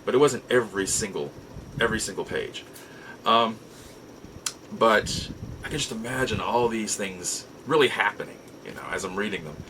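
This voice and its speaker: A middle-aged American man telling nostalgic personal stories in a casual, halting, reflective way with drawn-out pauses. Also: lowish voice, fairly bright tone, slight background noise, low-quality recording. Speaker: podcast host. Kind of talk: casual nostalgic storytelling monologue.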